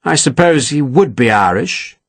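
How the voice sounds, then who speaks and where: A man's voice: medium pitch, animated, a little slow, no echo. A man voicing a genteel, evasive army colonel with a breezy, placating manner.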